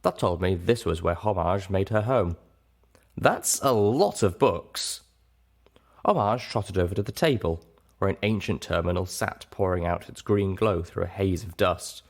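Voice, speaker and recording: A man's voice: deep voice, moderate pace, creaky. A man reading scripted first-person fiction aloud as an audiobook narrator.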